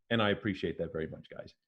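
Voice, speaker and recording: A man speaking as a volunteer voice actor on a panel, chatting in a halting, thoughtful way over a dull lo-fi online stream. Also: sits low, slightly rough. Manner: halting, thoughtful, many pauses. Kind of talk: casual reflective chat.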